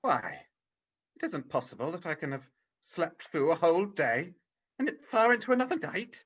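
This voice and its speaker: A woman's voice: lowish voice, very animated, normal speed, a little hiss or hum. A woman playing a frightened, bewildered old miser in a radio play, stammering and hesitant. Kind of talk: radio play rehearsed reading over video call. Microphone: video call. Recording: dull, lo-fi video call audio.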